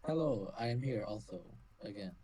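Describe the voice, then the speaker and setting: Husky young man with dry humor. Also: fairly low pitch, expressive, moderate pace, mellow, rough recording. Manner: dry, self-mocking and hesitant. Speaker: podcast co-host. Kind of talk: casual chat.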